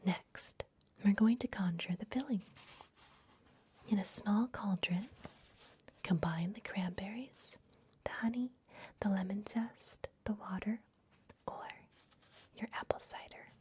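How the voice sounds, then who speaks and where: A woman whispering gently and unhurriedly in a breathy voice, playing a kindly vampire librarian in an ASMR fantasy roleplay. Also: medium pitch, slow pace, pauses often, a little hiss or hum. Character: kindly vampire librarian hosting a human guest. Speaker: ASMR performer.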